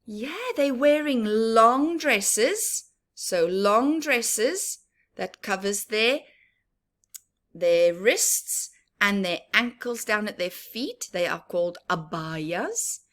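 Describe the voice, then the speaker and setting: Woman, children's story time reader, slow pace, medium pitch, expressive, teacherly, explains as she reads. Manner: expressive, teacherly, emphatic repetition, questions to the listener. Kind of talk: picture book read-aloud with explanatory asides to children.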